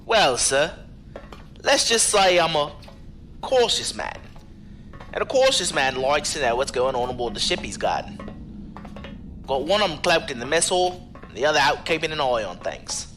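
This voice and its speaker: A man's voice: on the high side, sing-song, normal speed, pauses often, a bit bright, faint music behind. A man playing a cautious, chummy security contractor in an audio drama, talking colloquially with familiar deference. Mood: casual, sly. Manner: colloquial, deferential but familiar, calling people sir and mate.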